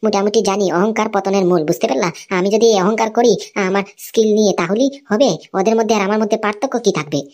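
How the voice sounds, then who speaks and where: A woman's voice: medium pitch, fast talker, few pauses, a little mellow, close to an everyday voice. A woman voicing a humble, conciliatory young cartoon man who explains things in a placating way. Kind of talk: amateur cartoon dialogue.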